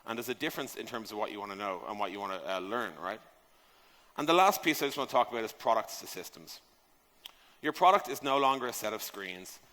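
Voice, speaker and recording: Guy doing a casual conference talk, bright forceful voice, big echoey hall, punchy and conversational. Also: mid-range voice. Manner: emphatic, conversational, rhetorical questions, casual swearing. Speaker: conference speaker on software product design. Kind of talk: conference talk, informal presentation. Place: large conference hall, on stage. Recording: echoey hall, long reverb.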